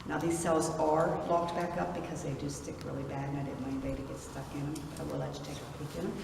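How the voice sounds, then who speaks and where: A middle-aged woman with an Appalachian accent, leading a prison tour and telling stories loudly to her group. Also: low voice, level tone, fast, creaky. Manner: projecting loudly to a group, storytelling. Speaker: tour guide. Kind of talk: guided tour talk to a group. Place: large empty rooms of an old prison at night. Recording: echoey rooms, distant and noisy.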